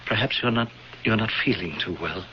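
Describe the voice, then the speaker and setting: An actor playing a merciless high priest in a radio drama, mocking and condescending in a theatrical manner. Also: male voice, fairly quick, close to an everyday voice. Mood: falsely gentle. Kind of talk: 1970s radio drama acting. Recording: muffled, noisy old radio recording.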